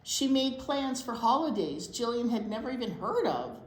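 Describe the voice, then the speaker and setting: A woman reading a picture book aloud to young children in an expressive storytime style, pausing to wonder aloud. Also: a little high. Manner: animated, pauses to wonder aloud and question young listeners. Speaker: children's storytime reader at a library. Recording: roomy echo with clear background noise.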